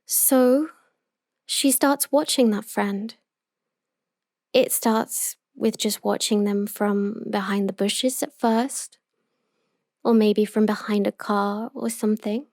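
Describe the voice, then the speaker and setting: A woman playing an obsessive, possessive young yandere in an intimate roleplay audio monologue, telling her story with deliberate emotional intensity. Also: medium pitch, fairly even intonation, a little mellow, no room sound, studio quality. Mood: sweetly wistful.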